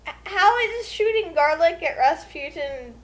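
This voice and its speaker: A woman's voice: extremely high pitch, lively intonation, a little slow. A woman doing casual comedic commentary in an emphatic, incredulous manner.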